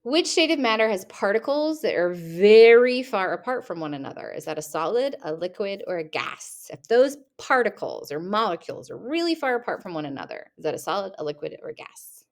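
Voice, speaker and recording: A woman's voice: medium pitch, sing-song, brisk, few pauses. A young woman teacher reading test questions aloud and rephrasing them playfully for her students, recorded at her computer. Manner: reads each question, then rephrases it playfully.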